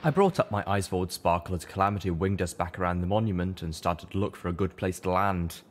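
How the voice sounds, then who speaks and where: A man with a low voice narrates a fantasy tale. He reads scripted first-person prose as an audiobook storyteller. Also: fast talker, a little hiss or hum. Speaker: audiobook reader.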